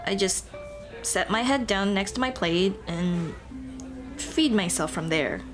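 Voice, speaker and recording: A woman's voice: medium pitch, slow, music in the background. A woman voicing a cheerful headless Dullahan on a date in a scripted ASMR roleplay, chatting playfully and directly to the listener. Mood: chatty, explaining. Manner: playful, animated, punning, speaking directly to the listener.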